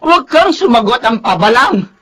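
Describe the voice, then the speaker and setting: Domineering, high-pitched radio drama mother. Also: big pitch swings, measured pace, a bit bright, full character voice. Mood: defiant anger. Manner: imperious, scolding, cutting. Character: domineering, unrepentant mother, newly remarried. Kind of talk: melodramatic radio drama acting.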